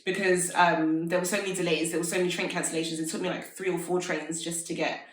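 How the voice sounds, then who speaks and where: A woman's voice: lowish voice, level tone, quick pace. Chatty woman in a roomy space. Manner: chatty, many filler "like"s, upbeat. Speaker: interview guest, fashion entrepreneur. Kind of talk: casual conversational interview. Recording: roomy echo, mic at a distance.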